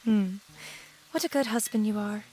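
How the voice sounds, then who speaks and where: A woman acts as an attentive wife in an audio drama. She speaks politely and soothingly, coaxing and reassuring at a medium pitch. Some background ambience sits behind the voice. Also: moderate pace, mellow, a little hiss or hum, close to an everyday voice, poor audio. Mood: sweetly coaxing. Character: soothing, attentive new wife with veiled intentions.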